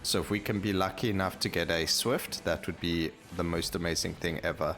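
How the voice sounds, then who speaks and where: Very low-voiced man doing game commentary. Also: steady tone, fairly quick, fairly bright tone, soft background music. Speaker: gaming video host. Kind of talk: casual gameplay commentary.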